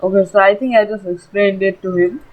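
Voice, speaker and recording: A female gaming host talks casually through her play. Her voice sits at an average pitch and is chatty, full of small verbal tags. Faint game music plays in the background. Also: fairly even intonation, brisk, narrow, tinny audio. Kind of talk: casual let's play commentary.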